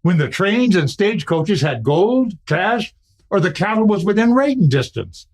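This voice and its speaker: A man's voice: sits high, big pitch swings, normal speed, full character voice. A man playing a baffled, plain-spoken Old West townsman in a western radio play.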